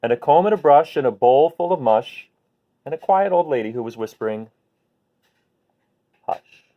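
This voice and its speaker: A man hosting a children's bedtime read-aloud, talking gently and simply to viewers in an unhurried way. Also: a little high, lively intonation. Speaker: host reading picture books to children. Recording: phone-like narrow-band sound.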